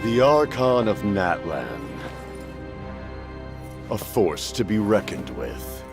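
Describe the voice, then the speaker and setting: Slow, grandiose male villain. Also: sits low, big pitch swings, full character voice. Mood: cold menace. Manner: slow, theatrical, pompous. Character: grandiose, menacing male villain, cold and self-assured. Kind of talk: video game voice acting. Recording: music clearly behind the voice.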